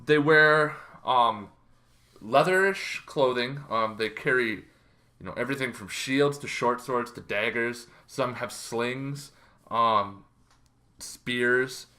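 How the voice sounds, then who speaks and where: Male host, 30s, bright forceful tone, informal conversational explainer delivery. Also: medium pitch, slow pace. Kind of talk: casual explainer on tabletop game monsters. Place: bedroom, indoors.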